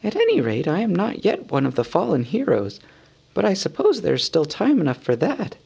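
A small fussy hobbit, dazed and aching after a blow to the head. The voice sits very high, thin and breathless, with a gravelly edge under it. It swings widely in pitch, as in a storybook reading. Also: male voice, wildly swooping pitch, normal speed, darkish tone, exaggerated voice. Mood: weary, wry. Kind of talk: audiobook character voice.

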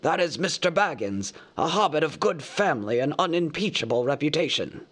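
A man reading a storybook aloud, voicing an old male wizard who speaks formally and with measured composure. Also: fairly high pitch, very animated, a bit bright. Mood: courteous.

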